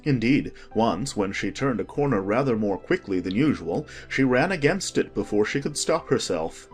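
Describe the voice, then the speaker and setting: A man narrating a children's story as an expressive, scripted audiobook reading. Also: lowish voice, moderate pace, soft background music.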